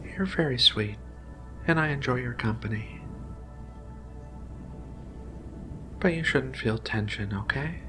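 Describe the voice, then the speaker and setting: A man voicing Ragna's kind of figure, a gentle, caring giant female feline alien researcher, in a soothing, hesitant ASMR roleplay delivery. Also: medium pitch, normal speed, music in the background, natural voice. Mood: tender.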